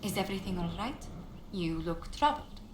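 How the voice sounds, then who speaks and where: A woman's voice: mid-range voice, animated, moderate pace, lightly acted. Woman playing a composed, courteous diplomat, echoing room. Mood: gentle, concerned. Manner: polite, diplomatic, formal. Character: courteous, composed lady ambassador, caring yet firm. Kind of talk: dramatic video game dialogue. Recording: roomy echo, faint background noise.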